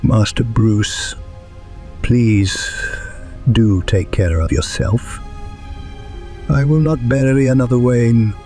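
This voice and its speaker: An actor playing a devoted, proper older butler in an audio drama, formal and deferential. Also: male voice, mid-range voice, slow, long pauses, mellow, soft background music, close to an everyday voice. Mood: worried, pleading.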